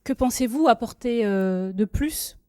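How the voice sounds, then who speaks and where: A woman interviewer speaks in a slightly high, mellow voice. She questions formally and politely, feeling her way through her phrasing as she sums up and asks. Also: unhurried. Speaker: debate interviewer.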